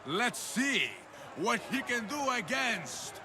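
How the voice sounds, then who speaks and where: High, drawn-out, booming arena announcer. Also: male voice, lively intonation, noisy background, heavily acted. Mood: showy, rousing. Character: booming, grandiose ancient Greek arena announcer. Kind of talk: projected ceremonial announcing in a game cutscene.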